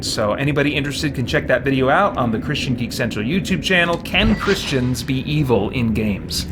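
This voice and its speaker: A man's voice: medium pitch, fairly bright tone, a little hiss or hum. A man hosting a casual game livestream, thinking aloud and rambling as he plays and chats. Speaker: game streamer and host. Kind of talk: casual livestream chat while playing.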